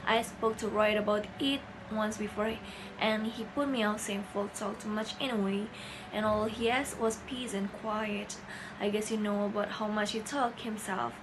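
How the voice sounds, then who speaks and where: A woman's voice: mid-range voice, steady tone, normal speed, noisy background, close to an everyday voice. A young woman playing a plain-spoken male farmer recounting what he saw, in amateur student stage-play style. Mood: earnest recounting. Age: young adult. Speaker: student actor in a class play. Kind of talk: amateur stage-play acting, recited monologue. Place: indoors, small plain room. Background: music.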